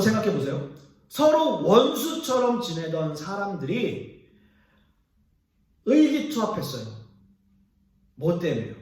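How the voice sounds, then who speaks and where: A man's voice: high voice, dark tone. A male pastor preaching a sermon, explaining scripture and putting questions to the congregation. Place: church sanctuary. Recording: echoey church hall from far off.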